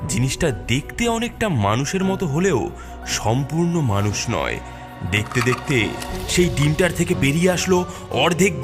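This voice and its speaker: A man narrating a scary cartoon story in a dramatic, suspenseful, scripted storytelling manner. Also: a little high. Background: prominent background music.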